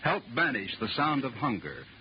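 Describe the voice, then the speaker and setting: Male appeal reader: grave scripted delivery, expressive melody, faint hiss on vintage radio sound. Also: medium pitch, moderate pace. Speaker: charity appeal announcer. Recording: muffled, noisy old radio recording.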